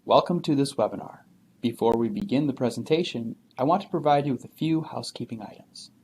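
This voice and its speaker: A man narrating a scripted instructional video in an even, polished announcer delivery. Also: mid-range voice, brisk, a little hiss or hum.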